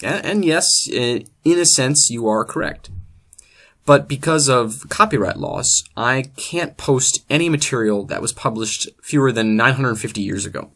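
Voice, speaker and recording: Man, presenter, deadpan, mock-scholarly, scripted comedy lecture. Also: mid-range voice, moderate pace. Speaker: host of a literature series.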